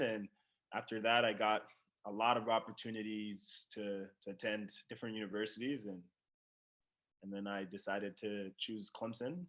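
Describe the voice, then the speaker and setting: Young adult male, from the Democratic Republic of Congo, mid-range voice, relaxed conversational interview answers as a pro athlete. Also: medium pitch. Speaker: professional soccer player being interviewed.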